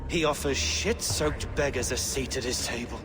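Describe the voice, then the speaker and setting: A man's voice: mid-range voice, normal speed, music in the background, lightly acted. A man voicing a cruel, zealous reeve in a video game, declaiming judgments in a theatrical, sneering way. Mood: cold menace. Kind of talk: dramatic game voice acting.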